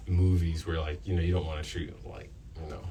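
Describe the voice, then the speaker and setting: A low-pitched man chats as a student radio host, casual and unscripted. He feels his way hesitantly through his thoughts. The mic sounds a little distant, with faint noise behind him. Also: flat delivery, brisk, mellow. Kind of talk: casual off-the-cuff interview chat.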